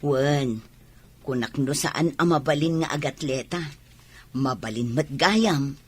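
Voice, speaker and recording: An actor with an Ilocano accent and a husky, sharp-edged voice playing a plainspoken, fretful parent in a radio drama. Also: medium pitch, slow pace, dry recording. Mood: sober. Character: plainspoken, fretful older parent of a young athlete.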